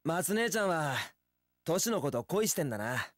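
An actor playing a young wandering warrior in an action game, easygoing, romantic and sincere, with casual, rough speech. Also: male voice, fairly high pitch, very animated, normal speed, no room sound, clean studio sound. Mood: carefree. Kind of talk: Japanese action game voice acting.

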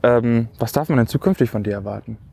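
A man's voice: medium pitch, quick pace, vocal fry, dark tone, a little hiss or hum. A young man hosting a casual outdoor interview, easygoing and friendly, asking questions with many hesitations. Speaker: interviewer, host. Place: outdoors on steps by a river.

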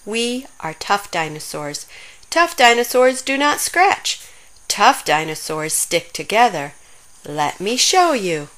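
A woman's voice: mid-range voice, sing-song, takes it slowly, fairly bright tone. A woman voicing a small, bossy, earnest dinosaur in a children's storybook, emphatic and commanding. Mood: earnest, determined. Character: small bossy bespectacled dinosaur, earnest about being tough.

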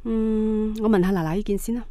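A woman playing a devoted, soft-hearted middle-aged wife and daughter-in-law in a radio drama, tender and deferential. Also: mid-range voice, fairly even intonation, a little fast, no echo, close to an everyday voice. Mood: teasing, affectionate.

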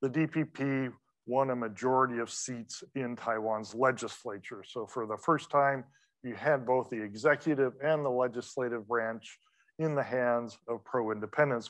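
A middle-aged man lecturing from a podium in a big wood-paneled hall. He keeps it loose and conversational, full of ums and little asides, in an ordinary mid-range voice. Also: mid-range voice. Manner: relaxed, conversational, many fillers and asides. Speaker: guest lecturer. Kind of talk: academic lecture. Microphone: podium microphone.

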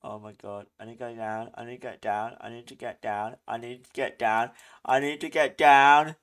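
A man hosting a gaming channel, giving excitable play-by-play commentary, often laughing and yelping in alarm. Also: mid-range voice, moderate pace, smooth. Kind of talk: live gameplay commentary.

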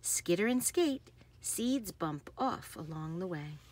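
A woman reads a children's picture book aloud expressively, with deliberate phrasing and emphasis on action words. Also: lowish voice, expressive, a little mellow. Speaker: read-aloud host.

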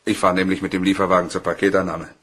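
An easygoing office colleague who is smitten and likes to tease, in a crime radio play. His voice is low and husky, his manner chummy. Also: level tone, very fast, a bit bright. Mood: flirtatious. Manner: teasing, chummy.

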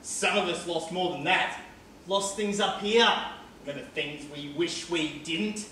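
An actor playing a cantankerous, foul-mouthed old care-home resident in a stage play, with a blunt, mocking manner. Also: male voice, a little high, measured pace, bright, forceful tone. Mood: bitter. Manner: sardonic, blunt, needling. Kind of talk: amateur stage play acting. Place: theatre stage, indoors. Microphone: distant camera microphone. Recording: echoey theatre stage from far off, faint noise.

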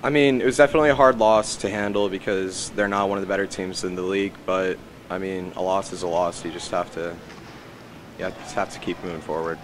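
A teenage hockey player answering flatly and haltingly in a post-game interview. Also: male voice, deep voice, background noise. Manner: flat, halting.

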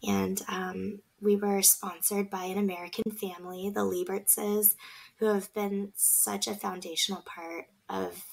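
A woman from Vietnam telling her family story in a relaxed podcast interview. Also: medium pitch, a little slow, vocal fry, husky, poor audio. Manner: earnest, reflective storytelling. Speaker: podcast guest, food business owner. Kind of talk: casual interview talk.

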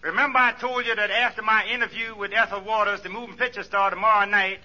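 High, piercing comic pal. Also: male voice, steady tone, fast talker, sharp tone. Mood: eager. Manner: folksy, ungrammatical, hesitant. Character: excitable, grandly named pal phoning a friend. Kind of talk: 1940s radio comedy acting. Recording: thin, muffled 1940s radio recording.

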